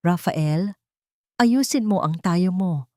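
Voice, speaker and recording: A woman with a Filipino accent voicing an anxious, domineering older society mother in an audiobook, hushed and urgent. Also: lowish voice, lively intonation, takes it slowly, no room sound, studio quality. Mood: tense, bossy.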